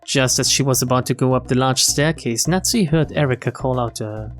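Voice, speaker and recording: A man's voice: medium pitch, brisk, faint music behind. A man streaming a game, reading its narration aloud expressively with wry, casual commentary. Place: game stream with music behind.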